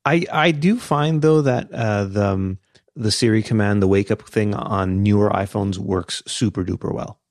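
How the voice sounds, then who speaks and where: A man's voice: lowish voice, normal speed, darkish tone, no echo, clean studio sound. A man co-hosting a tech podcast, laughing easily and restarting his sentences. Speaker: podcast co-host. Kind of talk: casual tech chat.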